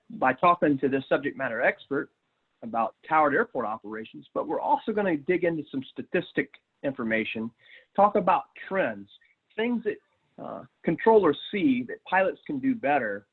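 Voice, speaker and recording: Husky, higher-voiced man on tinny connection. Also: very animated, normal speed. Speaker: webinar host introducing a guest. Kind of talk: structured safety briefing. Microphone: online video call. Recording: thin, phone-like webinar connection.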